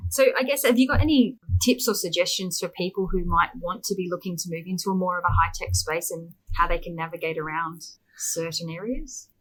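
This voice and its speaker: A woman's voice: on the low side, fairly quick, hardly any pauses, slightly rough, low-quality recording. A woman hosting a podcast, asking questions in a casual conversational style. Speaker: podcast host, interviewer. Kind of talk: casual interview chat.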